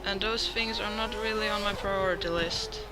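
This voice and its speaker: A man's voice: high-pitched, steady tone, moderate pace, heavy background noise. A man with a Swedish accent rambling casually while playing a racing game, joking at his own expense. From Sweden. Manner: rambling, self-mocking, chatty. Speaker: gaming channel host. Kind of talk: casual let's-play commentary. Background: loud game music.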